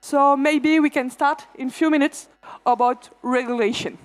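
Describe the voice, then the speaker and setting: A woman moderating a conference panel, talking in a halting way with fillers and restarts. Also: sits high, fairly even intonation, rough recording.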